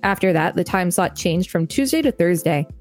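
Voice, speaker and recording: A woman's voice: fairly low pitch, expressive, normal speed, faint music behind. A young woman co-hosting a podcast, reading aloud with casual, chatty, upbeat commentary. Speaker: podcast co-host.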